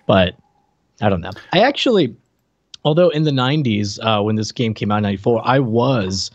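A man's voice: on the low side, animated, fairly quick, dry recording. A man co-hosting a casual game review show with teasing banter, on a slightly dull microphone. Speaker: podcast co-host.

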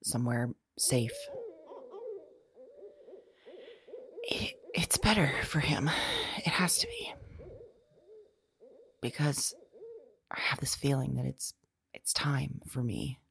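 A woman playing a frightened, lonely, tender woman resigned to doom in an audio drama, speaking haltingly and hushed, sometimes whispering. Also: extremely low pitch, expressive, gravelly, heavily acted. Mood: sad, fearful. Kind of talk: intimate audio drama monologue.

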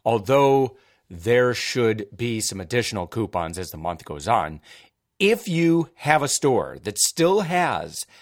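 A male talk show host with a cold, giving upbeat, energetic tips on deals and savings. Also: slow. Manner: upbeat, energetic pitchman delivery. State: has a cold. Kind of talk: radio-style talk show.

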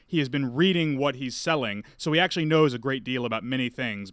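A man playing a tabletop game, laying out a character's backstory in a measured, articulate way. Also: mid-range voice, fairly bright tone, no echo, studio quality.

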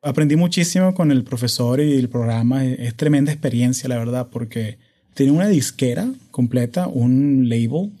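A Venezuelan man in his thirties hosts a relaxed podcast conversation. His voice is dark and mellow, but his delivery is energetic and hyperkinetic, with English terms slipping into his Spanish. It is recorded close on a studio microphone. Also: medium pitch, normal speed. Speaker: podcast host.

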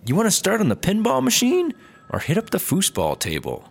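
A man's voice: high voice, huge pitch swings, a little fast, gravelly, breathy edge, exaggerated voice. A man playing a laid-back, perk-loving startup slacker who is eager to please, in a comedy audio drama. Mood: contented. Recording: background ambience and noticeable noise.

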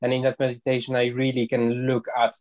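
A man speaks as a guest on a reflective panel. His voice sits at a middle pitch, and he talks in a thoughtful, hesitant way, stopping often to search for the right words. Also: monotone, brisk, darkish tone. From Poland. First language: Polish. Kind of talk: reflective discussion.

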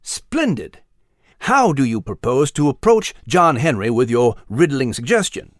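A man's voice: medium pitch, big pitch swings, measured pace, no echo, clean studio sound. A man voicing a scholarly, genteel mouse, delivering mock news commentary with some pomp. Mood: genteel, curious. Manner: erudite, genteel, pompous. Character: proper, scholarly British male mouse newsreader.

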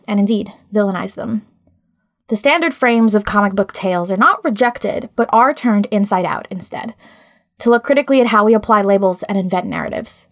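A woman hosting a podcast, reading scripted narration in a measured, articulate way. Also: mid-range voice. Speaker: podcast host.

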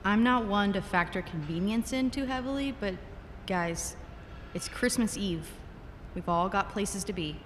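A woman's voice: mid-range voice, animated, measured pace, a little hiss or hum, natural voice. A woman playing a practical, questioning safety officer in an audio drama, reasonable and wry. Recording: long reverb, faint music. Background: faint music.

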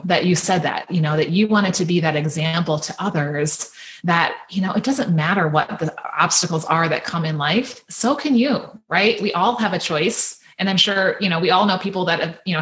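Woman, interview host, rambling, lots of fillers, fairly low pitch. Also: a bit bright. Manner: rambling, many restarts and "you know". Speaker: podcast host interviewing women. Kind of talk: conversational interview.

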